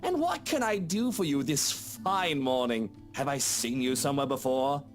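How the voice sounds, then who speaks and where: An actor playing a haughty upper-class British husband in a visual novel game, condescending and sardonic, with a sneering drawl. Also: male voice, medium pitch, animated, normal speed, lightly acted. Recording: guitar music behind the voice.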